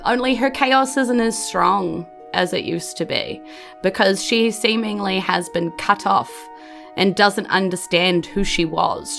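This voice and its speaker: Woman, New Zealand accent, game master, unhurried pace, gravelly fry, improvised tabletop narration. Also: fairly low pitch, fairly bright tone.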